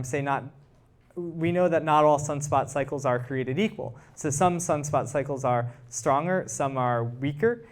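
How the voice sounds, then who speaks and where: A man, a guest researcher, answering audience questions in an informal, explanatory way and thinking aloud. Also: on the high side. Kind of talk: informal scientific Q&A after a talk.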